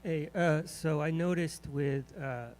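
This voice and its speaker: A man's voice: fairly high pitch, steady tone, takes it slowly, a little mellow. A man in the audience asking a long question, searching for words and restarting often.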